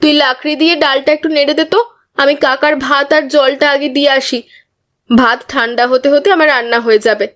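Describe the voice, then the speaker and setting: High-pitched earnest young village cook played by a woman. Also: steady tone, fairly quick, a bit bright. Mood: busy, friendly. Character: hardworking young village woman running a food stall, earnest, easily upset. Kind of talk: cartoon voice acting. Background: background music.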